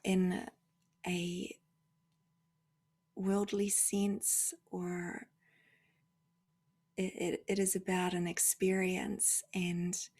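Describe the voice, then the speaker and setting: Slow, breathy woman guiding meditation. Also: on the low side. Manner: soft, hushed, often slipping into breathy near-whisper; unhurried, with long pauses between phrases. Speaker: guided meditation leader. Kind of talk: gentle guided meditation talk.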